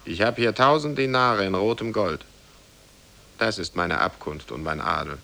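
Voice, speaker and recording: A man's voice: lowish voice, normal speed, creaky, pushed and bright. A man playing a lazy, naive young man with theatrical expression in a 1950s radio play. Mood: polite, confident.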